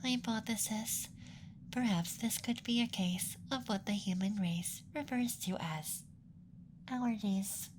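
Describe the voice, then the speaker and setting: A man voicing a gentle, curious alien examiner in a whispered ASMR roleplay, clinical yet coddling and playfully condescending. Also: high-pitched, a little slow, noisy background. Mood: soft, clinical, coddling.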